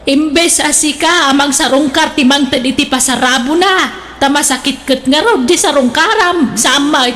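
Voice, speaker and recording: A woman's voice: high voice, moderate pace, fairly bright tone, a little hiss or hum. A woman voicing a scolding wife who nags her husband in a radio drama. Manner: nagging, scolding.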